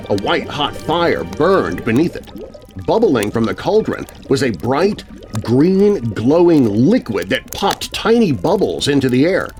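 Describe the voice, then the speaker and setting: A man narrating a scary children's story in a dramatic, scripted first-person style. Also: fairly high pitch. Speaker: narrator of children's horror stories. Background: music bed.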